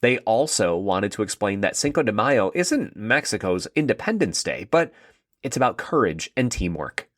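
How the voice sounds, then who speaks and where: A man narrating a scripted story for children in an expressive read-aloud style. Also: fairly low pitch, moderate pace, no room sound, studio quality.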